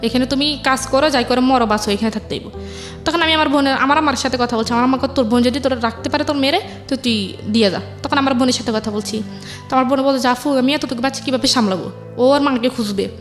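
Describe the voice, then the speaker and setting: A woman's voice: sits high, fast talker, faint music behind. A young woman with a Bangladeshi accent telling her life story as an interview guest, in colloquial speech full of repetition and quoted dialogue. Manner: colloquial dialect forms, repeats herself, quotes family members. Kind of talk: personal life story told conversationally.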